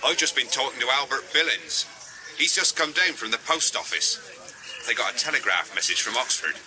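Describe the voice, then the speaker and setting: Radio drama: authoritative village leader, male actor, firm, animated intonation, rural southern English, ambient noise behind. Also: medium pitch, moderate pace, natural voice. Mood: grave, challenging. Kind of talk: radio play acting.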